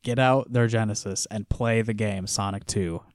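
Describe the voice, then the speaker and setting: Man, lowish voice, dry close sound, casual comic recap, chuckles often. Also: normal speed, dry recording. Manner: relaxed, laughs often, trails off quietly. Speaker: podcast co-host. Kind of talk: casual chat reviewing a comic.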